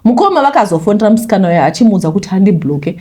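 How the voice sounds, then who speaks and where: A woman's voice: mid-range voice, big pitch swings, rapid-fire, dark tone. A woman with a Zimbabwean accent telling a first-person confession story in a conversational way, mixing in English phrases. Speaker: YouTuber reading a listener's confession.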